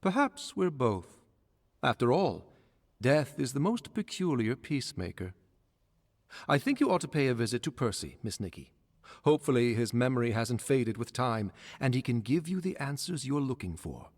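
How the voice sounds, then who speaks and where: An actor playing the wry ghost of a former court servant in a video game, speaking in a courteous, musing, theatrical way. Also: male voice, medium pitch, big pitch swings, normal speed. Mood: gently philosophical. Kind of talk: scripted video game character dialogue. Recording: long hall-like reverb.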